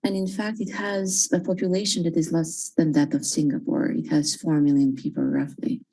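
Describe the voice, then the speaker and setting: A woman professor from Croatia giving a measured presentation, with frequent fillers. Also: deep voice, flat delivery, gravelly, mellow, slightly rough. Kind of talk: presentation talk.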